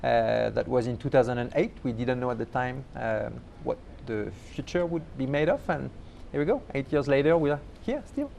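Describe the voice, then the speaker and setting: A man from France, a native French speaker, telling his story to an interviewer in a friendly, halting way. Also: mid-range voice, lively intonation, gravelly, darkish tone, slight background noise. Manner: friendly, halting, with many fillers. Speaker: program coordinator, guest. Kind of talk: casual interview answers.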